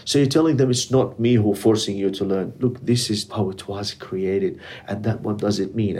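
A man's voice: on the low side, darkish tone, husky. A middle-aged man whose first language is Arabic, speaking earnestly in a casual interview with non-native English grammar. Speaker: interviewee, community educator.

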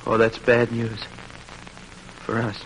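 Earnest young soldier prisoner played by an actor, old radio. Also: male voice, medium pitch, monotone, slow, lightly acted. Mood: subdued, anxious. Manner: earnest, polite, steadfast. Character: brave, resolute young condemned Confederate soldier prisoner. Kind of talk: old-time radio drama acting. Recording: noisy vintage radio drama recording.

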